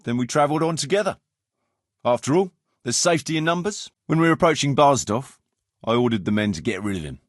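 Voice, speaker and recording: Man acting blunt roguish mercenary captain, lively intonation. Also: mid-range voice, fast talker, no room sound, studio quality, lightly acted. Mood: wry storytelling. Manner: colloquial, world-weary, blunt. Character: gruff roguish medieval mercenary captain, firm but fond. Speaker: game character, band leader. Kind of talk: video game dialogue acting.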